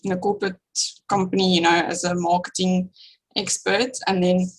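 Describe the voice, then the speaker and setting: A woman's voice: lowish voice, little pitch movement, a little fast, low-quality recording. A woman with a South African accent, guesting on a podcast and speaking enthusiastically, laughing often. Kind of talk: casual interview chat.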